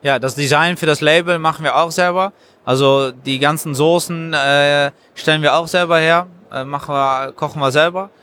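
A man who makes sauces, answering interview questions at a trade fair stand in a halting, explanatory way. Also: a little high, steady tone, bright, forceful tone, a little hiss or hum. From Germany. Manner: halting, explanatory, frequent hesitations. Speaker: sauce maker, guest. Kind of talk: casual interview.

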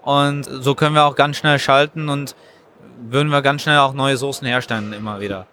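A man who makes sauces, answering interview questions at a trade fair stand in a halting, explanatory way. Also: mid-range voice, steady tone, bright, forceful tone, a little hiss or hum. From Germany. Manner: halting, explanatory, frequent hesitations. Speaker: sauce maker, guest. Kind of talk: casual interview.

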